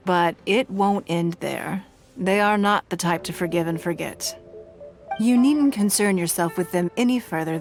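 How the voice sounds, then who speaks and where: Poised formal lady leader. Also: lowish voice. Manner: formal, composed, measured. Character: poised elegant female leader.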